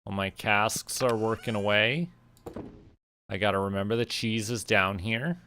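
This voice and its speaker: A man's voice: on the low side, steady tone, unhurried, bright, forceful tone, slight background noise. A man from Canada chatting casually as a game streamer, thinking aloud in a playful way. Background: music.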